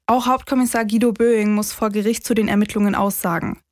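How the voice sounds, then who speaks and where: A woman's voice: medium pitch, fairly even intonation, fast, darkish tone, no echo, clean studio sound. A woman, hosting and narrating a true-crime podcast from a script. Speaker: podcast host.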